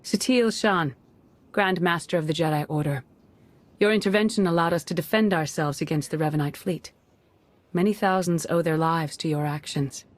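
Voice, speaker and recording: An actress playing a dignified Jedi grand master in a video game, formal, measured and diplomatic, with calm authority. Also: female voice, fairly low pitch, dry recording, close to an everyday voice. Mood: formal, resolute. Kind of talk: dramatic video game acting.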